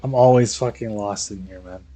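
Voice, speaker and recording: A man's voice: on the low side, normal speed, warm tone. A young man giving casual, slangy live commentary on a game as he talks to chat. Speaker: game livestreamer.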